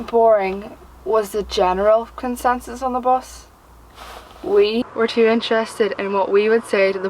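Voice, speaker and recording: A high-pitched Northern Irish schoolgirl, played by a young woman, tells her story in a sardonic, sweary stage monologue. She talks conversationally and mimics others, over clear background noise in an amateur location recording. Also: lightly acted, rough recording. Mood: bored, sardonic. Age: young adult. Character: sardonic working-class Northern Irish schoolgirl recalling teenage humiliation. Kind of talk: theatrical monologue.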